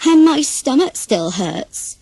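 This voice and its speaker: A woman's voice: sits high, very animated, slow pace, vocal fry, warm tone, breathy edge, no room sound, pitched above the performer's own voice, exaggerated voice. A woman voicing a lazy male storybook duck with exaggerated, plaintive groaning. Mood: feigned misery.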